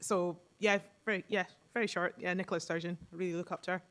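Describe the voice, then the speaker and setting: A woman's voice: fairly low pitch, steady tone, fast, fairly bright tone. A woman panelist giving an earnest answer with many fillers.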